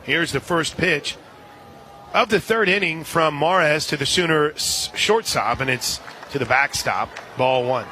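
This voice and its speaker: A man doing live radio play-by-play commentary of a softball game. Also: fairly high pitch, unhurried. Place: outdoor softball stadium broadcast position. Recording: outdoor ballpark, clear crowd noise behind.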